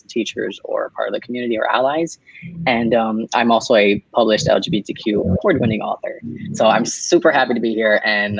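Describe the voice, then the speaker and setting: A man's voice: medium pitch, gravelly, no echo, low-quality recording. A man on a panel, a trainer and author, talking enthusiastically and a little rambling. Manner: warm, enthusiastic, a bit rambling. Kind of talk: casual panel talk.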